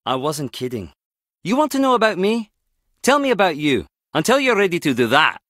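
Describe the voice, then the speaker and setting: An actor playing a wry, guarded skip tracer in a video game, teasing yet protective, speaking in a clipped, conversational way. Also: male voice, mid-range voice, very animated, normal speed, a bit bright, no echo, clean studio sound. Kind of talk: video game character dialogue.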